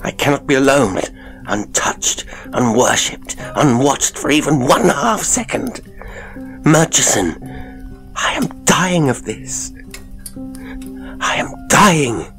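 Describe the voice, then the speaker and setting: A man voicing a tormented, haunted gentleman in an audiobook, with a strained, feverish, exclamatory delivery. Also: a little slow, soft background music. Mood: desperate. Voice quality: high, keen, strained when excited. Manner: feverish, exclamatory, repeating himself. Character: frantic, tormented gentleman, haunted and near breakdown.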